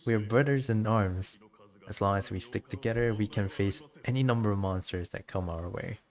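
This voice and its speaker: A man reads the part of a devoted samurai soldier in a fantasy game. The voice is low, with earnest, formal phrasing and a steadfast temper. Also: normal speed, a little mellow, close to an everyday voice. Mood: resolute.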